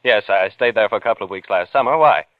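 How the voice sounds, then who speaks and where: A man's voice: fairly low pitch, quick pace, no room sound, lightly acted. Actor playing a haunted, paranoid man, muffled old radio. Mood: defensive. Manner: tense, defensive, snapping. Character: haunted, paranoid man under suspicion, narrating his unraveling. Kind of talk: old-time radio suspense acting.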